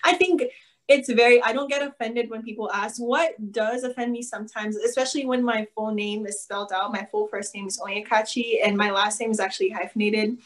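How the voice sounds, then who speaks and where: A woman's voice: on the high side, steady tone, brisk, poor audio. A young woman from Nigeria, a student, speaking in an earnest, chatty way with many fillers over a video call. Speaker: student participant. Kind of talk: candid personal account.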